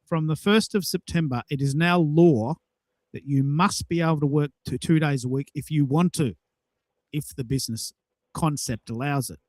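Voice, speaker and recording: Australian man, show host, slightly high voice, casual chat, enthusiastic, emphatic, close dry sound. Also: moderate pace, darkish tone, dry recording.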